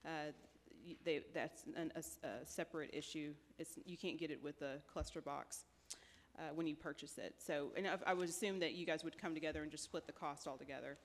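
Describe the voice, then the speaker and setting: A woman's voice: low-pitched, fairly quick. A woman presenting options at a city council meeting, hesitant and full of fillers. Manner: hesitant, many fillers, says she has a light voice. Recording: reverberant meeting hall.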